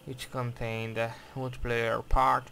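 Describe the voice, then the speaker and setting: A man's voice: medium pitch, flat delivery, unhurried, creaky, pushed and bright, slight background noise. A man from Hungary with a Hungarian accent, commenting hesitantly on game footage in careful English, with many fillers and restarts. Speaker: game reviewer. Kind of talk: gameplay commentary and review. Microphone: voice-over over game footage.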